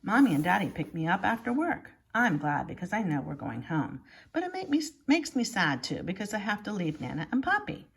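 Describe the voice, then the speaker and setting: A woman's voice: medium pitch, big pitch swings, a little fast, creaky, few pauses, husky, poor audio. A woman reading a children's picture book aloud like a schoolteacher, expressively and with exaggerated emphasis.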